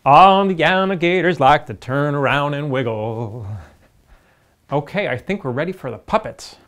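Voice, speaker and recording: A man's voice: fairly high pitch, animated, moderate pace, fairly bright tone. A middle-aged man hosting a children's puppet show, cheerfully leading call-and-response dance moves. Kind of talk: kids' show with songs. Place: indoor studio set with painted backdrop. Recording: roomy studio with guitar music behind.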